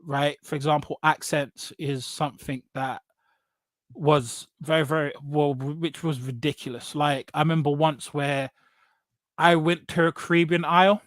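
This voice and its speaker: An English man with a fairly high voice talks casually about his own experiences. He is blunt and emphatic, doubles back on phrases and tags points with "right?" The sound is dry and close. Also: fairly even intonation, moderate pace, a bit bright, no echo. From England. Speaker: podcast guest. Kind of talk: casual personal storytelling in conversation.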